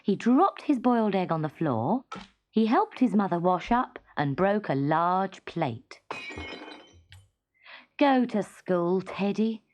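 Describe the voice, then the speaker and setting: A British woman reading a children's story in an expressive, deliberate manner. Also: fairly low pitch, highs cut off. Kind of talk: scripted children's story narration.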